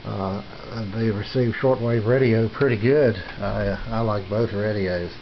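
A man giving a casual, unscripted tour as a hobbyist host, rambling and halting, recorded on a handheld camera in a small home room. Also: on the low side, steady tone. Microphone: handheld camera's built-in mic. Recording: muffled camcorder audio with background hiss.

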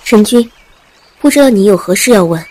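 Deferential immortal attendant, mid-pitched woman acting. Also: animated, a little fast, a little mellow, lightly acted, rough recording. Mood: respectful. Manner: respectful, formal. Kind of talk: audio drama acting.

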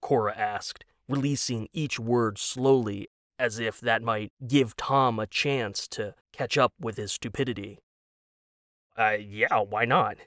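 Male narrator for audio fiction: measured, slow, wry delivery with dramatic pauses, dry close recording. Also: mid-range voice, fairly bright tone, dry recording. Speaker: narrator and author.

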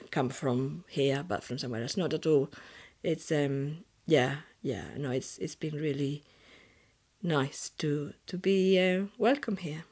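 A woman in her forties with a strong Spanish accent, from the Canary Islands, telling her life story in a relaxed, chatty way with restarts, recorded at home. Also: lowish voice. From Canary Islands, Spain. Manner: chatty, with frequent restarts and "you know". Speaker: interviewee. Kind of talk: reflective personal storytelling. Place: living room at home, indoors.

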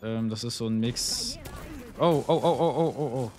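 A young man giving casual, rambling commentary while playing a shooter, with a slightly hoarse voice from a cold, swearing often and filling gaps with ums. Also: mid-range voice, normal speed, a little mellow, a little hiss or hum. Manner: chatty, swears often, rambling with many fillers. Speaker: gaming YouTuber. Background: game music and gunfire.

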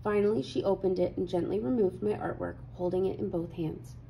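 Bright-toned woman reading a storybook, noisy background. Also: lowish voice, brisk. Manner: animated storytelling with clear dramatic emphasis. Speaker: read-aloud host. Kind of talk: expressive children's picture-book read-aloud.

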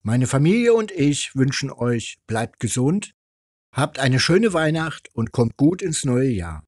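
A man's voice: mid-range voice, very animated, unhurried, a little mellow, dry recording, studio quality. A man reading a fairy tale aloud as a storyteller.

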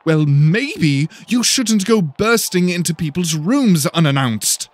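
A man voicing a sharp-tongued, condescending, hot-tempered young woman in an audiobook. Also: sits high, big pitch swings, takes it slowly, no echo, voice pushed up, exaggerated voice. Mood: furious. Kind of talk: dramatic audiobook character voice.

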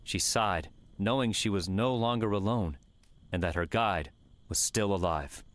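Low-voiced man narrating an audiobook. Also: a little slow, a bit bright. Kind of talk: scripted fiction narration.

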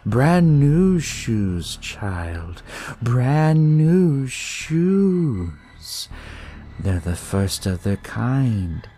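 Man putting on a slow, ceremonious young cult leader. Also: mid-range voice, sing-song, warm tone, slight background noise, voice pushed up, full character voice. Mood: ominous, grandiose. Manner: slow, drawn-out, ritual pronouncements. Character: smug, ceremonious young male devil-cult leader, looks drugged.

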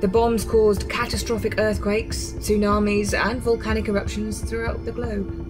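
A woman's voice: mid-range voice, little pitch movement, moderate pace, music in the background, natural voice, rough recording. A woman playing a composed resistance medic in a radio drama, explaining things in a blunt, matter-of-fact way. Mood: grave, explanatory.

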